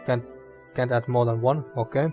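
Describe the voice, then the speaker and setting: A man's voice: medium pitch, normal speed, soft background music. A man with a dry throat casually commenting on a game as he plays, thinking aloud. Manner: relaxed, thinking aloud, mildly sweary. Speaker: gaming video host.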